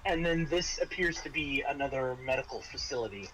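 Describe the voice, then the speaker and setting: A man acting as game master, describing scenes and explaining rules in a halting way, restarting his phrases. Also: mid-range voice, a bit bright, a little hiss or hum, poor audio. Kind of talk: tabletop RPG narration and casual rules talk.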